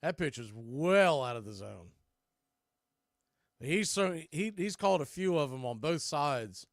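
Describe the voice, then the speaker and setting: Guy doing live baseball commentary, kinda high voice, very dry sound, chatty and opinionated. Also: expressive, a little slow, no room sound. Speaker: baseball play-by-play announcer and host.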